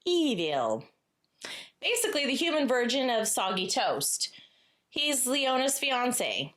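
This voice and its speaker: A woman co-hosting a casual book chat in a playful, cheeky manner, pausing dramatically for emphasis. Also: high-pitched, big pitch swings, a bit bright. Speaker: podcast co-host.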